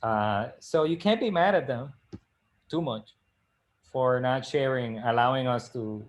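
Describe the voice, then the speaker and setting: Hesitant middle-aged man from the Dominican Republic. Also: medium pitch. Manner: halting, many "uh" fillers and restarts. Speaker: librarian and co-host. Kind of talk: casual live-stream discussion. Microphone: video call.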